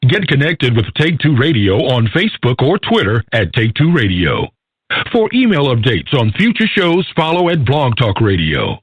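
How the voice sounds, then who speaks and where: A man reading a scripted station promo in a loud, lively announcer delivery. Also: mid-range voice, normal speed, husky, no echo. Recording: dull radio promo, dry.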